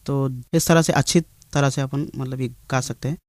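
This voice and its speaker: A man gives conversational interview answers as a singer. His delivery is halting and plain, with little movement in pitch. The voice is mid-range and recorded dry. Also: steady tone, a little mellow, no echo.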